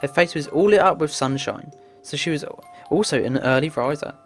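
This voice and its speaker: A woman's voice: sits low, normal speed, faint music behind. A woman reading a visual novel aloud as narration, stumbling now and then and adding casual asides.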